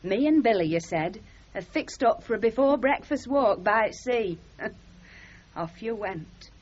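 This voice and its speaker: An actress playing an aggrieved, chatty middle-aged working-class wife with a Northern English accent in a radio comedy drama. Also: female voice, a little high, expressive, measured pace, darkish tone. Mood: hurt. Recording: muffled 1970s radio drama recording.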